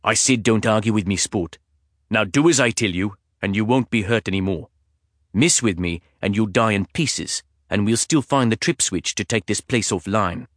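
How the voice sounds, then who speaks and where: A man voicing a huge, menacing male intruder with a husky British accent, cold and clipped. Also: lowish voice, normal speed, a bit bright, no echo, clean studio sound, lightly acted.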